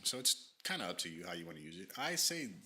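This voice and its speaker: A man's voice: low voice, sing-song, fast talker, bright, forceful tone. A man streaming and talking casually, a bit nasal from allergies, rambling with many fillers while he reads through notes. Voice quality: slightly nasal. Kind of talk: casual livestream commentary, reading from a page.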